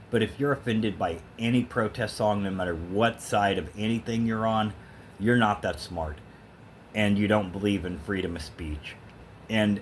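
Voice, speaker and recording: A man's voice: low-pitched, slight background noise. A man talking casually and emphatically to the camera as an opinionated music fan, in a rambling vlog style. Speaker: vlogger showing a thrift store music haul.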